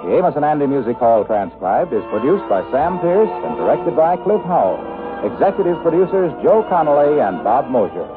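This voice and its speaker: A man's voice: a little high, sing-song, normal speed. A man announcing the sign-off of a 1950s radio show as its announcer. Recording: tinny vintage radio broadcast with music. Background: music.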